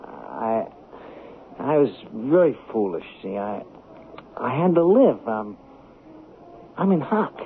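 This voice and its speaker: An American actor playing an edgy, bullying small-time crook in debt, in a 1970s radio drama style. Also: male voice, mid-range voice, big pitch swings, takes it slowly, pauses often. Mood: sheepish, wheedling. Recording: tinny, noisy 1970s radio recording.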